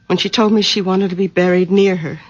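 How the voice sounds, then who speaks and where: An actress playing a gentle, concerned middle-aged wife and daughter in a radio drama, husky and softly hesitant. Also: female voice, on the low side, steady tone, fairly quick, close to an everyday voice. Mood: wistful, concerned. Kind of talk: 1970s radio drama acting. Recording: dull old radio recording.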